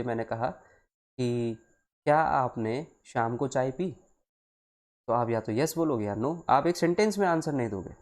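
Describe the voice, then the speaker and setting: A male teacher speaks at a middling pitch. He explains things step by step in a deliberate, instructive way, repeating phrases for emphasis. He moves between Hindi and English and speaks directly to his students as in a live online class. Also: darkish tone.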